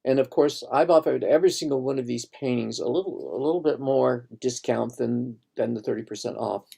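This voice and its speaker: A man's voice: medium pitch, a little fast, gravelly, mellow, husky. A man, a painter, casually walking viewers through his own online exhibition, rambling and thinking aloud with restarts. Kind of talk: casual live gallery tour.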